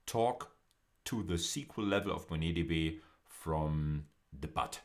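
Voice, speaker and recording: A man giving an explanatory video lecture as a university lecturer, speaking in a halting, deliberate way with emphatic stresses. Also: extremely low pitch.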